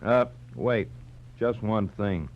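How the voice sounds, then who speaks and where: Businesslike American cop in radio crime drama, lowish voice, halting, dull old recording. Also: male voice. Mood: matter-of-fact. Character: businesslike male police detective lieutenant. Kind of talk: 1940s radio drama acting. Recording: muffled, noisy 1940s radio recording.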